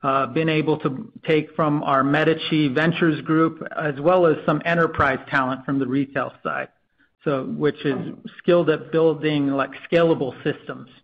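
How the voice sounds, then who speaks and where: A man's voice: on the high side, steady tone, normal speed, creaky, muffled recording. A man presenting business plans as a technology executive on an earnings call, with frequent uhs between phrases.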